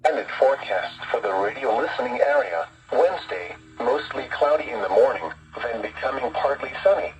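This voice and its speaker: A man's voice: mid-range voice, very animated, moderate pace, vocal fry, dull audio. A man reads scripted weather forecasts in a formal, impersonal way over a weather radio with noisy reception. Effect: weather radio broadcast.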